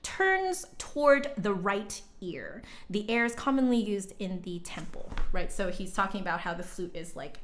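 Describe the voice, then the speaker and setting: A woman's voice: mid-range voice, animated, unhurried, hardly any pauses, a bit bright, slight background noise. A woman livestream host reading an old text aloud dramatically, breaking off for informal, chatty asides to viewers.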